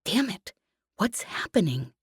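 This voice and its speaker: A woman's voice: mid-range voice, very animated, measured pace, husky, no room sound, clean studio sound, pitched above the performer's own voice, exaggerated voice. A woman voicing a brash, sarcastic female ex-smuggler pilot in an audiobook space adventure, with a swaggering manner. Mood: irritated. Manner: swaggering, sarcastic, casually profane.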